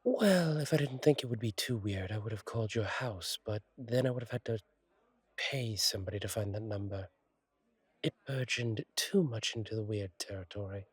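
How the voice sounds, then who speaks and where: A man plays a fallen-angel bartender with a lowish, husky voice, recorded close and dry. He chats to a regular in an intimate roleplay style, reassuring and attentive. His humour is gentle and wry, and his wording turns slightly formal. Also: moderate pace, no echo. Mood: welcoming, reassuring. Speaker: bartender character in audio roleplay. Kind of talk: intimate one-sided roleplay audio acting.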